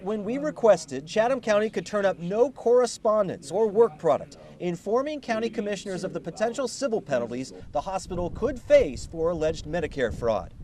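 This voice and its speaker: A man reading a scripted investigative television news report with emphatic, deliberate phrasing. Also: high voice, a little mellow, slight background noise.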